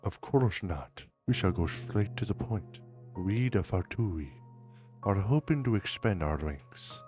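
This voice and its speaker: A man's voice: low-pitched, lively intonation, a little slow, a little mellow, soft background music. A man playing an obsequious, scheming underling in a dramatic fan dub, formal and deferential in manner. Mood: persuasive. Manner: servile, formal, smooth-talking.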